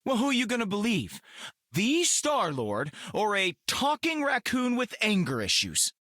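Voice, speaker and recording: A cocky goofball of a space hero in a video game. His voice is bright and animated, delivering casual, sarcastic wisecracks. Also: high voice, measured pace, no echo, studio quality, heavily acted. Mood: defensive, cocky.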